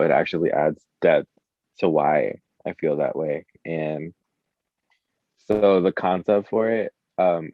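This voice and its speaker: A man's voice: very deep voice, no echo, highs cut off. A man chatting casually as an interview guest, a musician with an informal, slangy, hesitant way of talking, saying "like" constantly. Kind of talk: casual chat.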